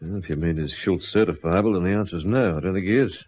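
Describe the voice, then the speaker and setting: An actor playing a wary, knowing country policeman in a radio drama, measured and laconic in manner. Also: deep voice, breathy edge. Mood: wary, certain. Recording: dull lo-fi radio recording.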